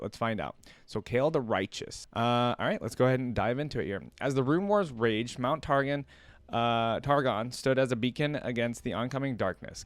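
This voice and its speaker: A man's voice: medium pitch, no echo. A man hosting a reaction video, talking conversationally and thinking aloud as he comments and reads lore.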